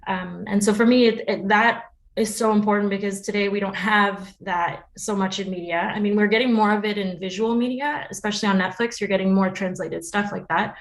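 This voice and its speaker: A woman's voice: mid-range voice, steady tone, fairly quick, low-quality recording. American woman explaining thoughtfully. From New York City, United States. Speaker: interview guest, podcast producer. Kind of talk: conversational interview answers.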